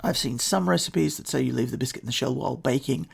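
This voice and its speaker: An Australian man narrates his podcast in a steady, matter-of-fact way. His voice has a heavy gravelly fry and a husky, rough edge, sitting at an ordinary middle pitch. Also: quick pace. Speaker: podcast host. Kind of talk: scripted solo podcast narration.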